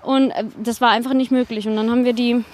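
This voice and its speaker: A woman from Baden-Württemberg with a fairly high voice tells stories in a loose, conversational style. She is colloquial and self-deprecating, chatting as she walks. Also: little pitch movement, fast, a little mellow. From Baden-Württemberg, Germany. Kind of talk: casual chat, anecdotal storytelling. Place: recorded while walking along.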